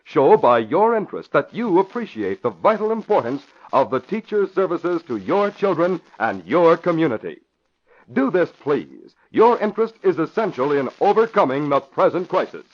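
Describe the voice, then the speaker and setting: An American male narrator in 1940s radio style. He is formal and emphatic, with a fairly high, highly inflected voice. The recording is dull and vintage. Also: sing-song, moderate pace. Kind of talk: 1940s radio announcing, scripted dramatic narration.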